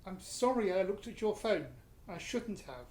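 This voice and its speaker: A British woman playing a blunt, indignant but protective parent in a radio play, with a brisk manner. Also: medium pitch, expressive, darkish tone, natural voice. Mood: cross, defensive. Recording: roomy, faintly noisy recording.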